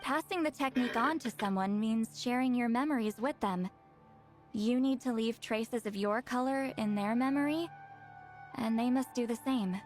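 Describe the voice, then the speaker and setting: A woman's voice: on the high side, normal speed, darkish tone, close to an everyday voice. A woman voicing an aloof, centuries-old sorceress who talks in a reserved, matter-of-fact way. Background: faint game music.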